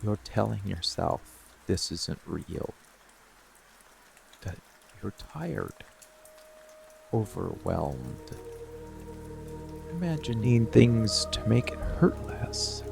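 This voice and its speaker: Casting: male actor for monster boyfriend ASMR, dark, possessive, coaxing; deep fry-laden murmur and whispers, music and rain underneath. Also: big pitch swings, heavily acted. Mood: possessive, intimate. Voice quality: gravelly, breathy, often dropping to whisper. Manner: slow, deliberate, coaxing, with long pauses. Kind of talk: intimate roleplay ASMR, slow monologue.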